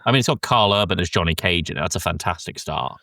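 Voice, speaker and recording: A man with a fairly low voice hosts a casual film chat. He rambles loosely and sounds a little congested, as if sick. The recording is close and dry, with little room sound. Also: fast, vocal fry, pushed and bright, no room sound. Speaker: podcast host.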